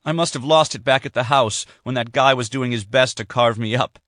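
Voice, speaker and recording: A man's voice: mid-range voice, a little fast, a bit bright, no room sound, clean studio sound, pitched above the performer's own voice, close to an everyday voice. A man reading aloud, voicing a frantic young woman on the run in a tense, urgent way.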